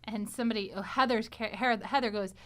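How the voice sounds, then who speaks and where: A woman podcast host in casual group conversation, animated and chatty, often echoing agreement. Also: medium pitch, a little fast.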